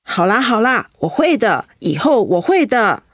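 An actress in a Mandarin radio drama playing a vulnerable young wife, earnest, emotional and confiding. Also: female voice, a little high, big pitch swings, unhurried, a bit bright, no echo, clean studio sound, heavily acted. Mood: tender, reassuring. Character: vulnerable young wife holding back tears after illness.

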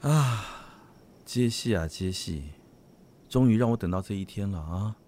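A man's voice: fairly low pitch, lively intonation, unhurried, warm tone, slight background noise. A man playing a menacing special-police officer in a radio drama, gloating and officious as he taunts his target.

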